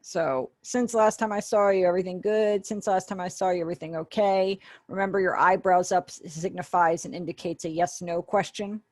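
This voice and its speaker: A woman's voice: lowish voice, fairly even intonation, a little fast, hardly any pauses, no echo. A woman giving an online sign language lesson over a webinar call, patiently explaining and acting out example phrases. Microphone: webinar video call.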